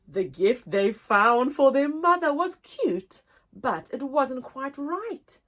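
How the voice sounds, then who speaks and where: A woman's voice: high-pitched, sing-song, slow, a bit bright. A woman storyteller reading a children's storybook aloud, expressively, with a sing-song rhyming delivery.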